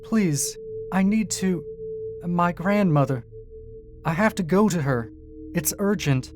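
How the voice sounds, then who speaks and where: A man voicing a young girl in a dark fairy tale, earnest and cunning, speaking in careful phrases. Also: sits high, lively intonation, takes it slowly, voice pushed up. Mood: pleading. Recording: music behind the voice.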